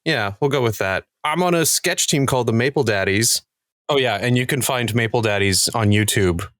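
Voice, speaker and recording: A man's voice: medium pitch, normal speed, fairly bright tone, no echo, clean studio sound. Male comedian castmate, mid-range voice, wry and conversational. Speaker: podcast cast member, actor and comedian. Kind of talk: casual chat.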